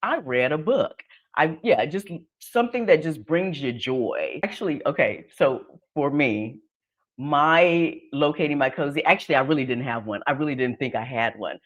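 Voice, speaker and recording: A woman's voice: low-pitched, expressive, normal speed, highs cut off. Casual middle-aged woman hosting a chat. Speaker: host of an online interview show. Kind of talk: casual conversational interview.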